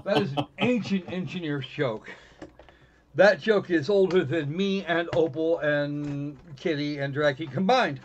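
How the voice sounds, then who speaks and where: A man hosting a live game stream in casual chat, often halting and restarting his phrases. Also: on the high side, dull audio, slight background noise.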